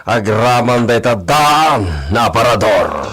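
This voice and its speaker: An actor with an Ilocano accent playing a stern, brooding, wealthy master of a household in a radio drama, speaking deliberately and with command. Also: male voice, medium pitch, lively intonation, fairly bright tone, faint music behind.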